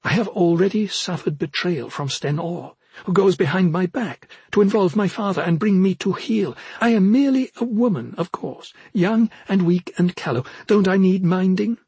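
Young woman military leader, male reader, audiobook fantasy. Lifted pitch, demanding, controlled, pointed. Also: normal speed, hardly any pauses, darkish tone, slightly rough, no echo, full character voice. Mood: defiant. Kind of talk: audiobook character dialogue.

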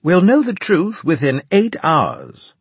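A man voicing a formal male officer giving a military briefing in an audiobook, emphatic and measured. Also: a little high, huge pitch swings, slightly rough, no echo, pitched above the performer's own voice, full character voice. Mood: firm. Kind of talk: audiobook character dialogue.

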